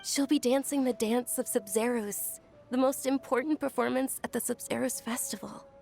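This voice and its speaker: A woman voicing a gentle, gracious young female patron in a video game, polite and composed. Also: a little high, steady tone, normal speed, creaky, faint music behind. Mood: composed, kind. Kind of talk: video game character acting.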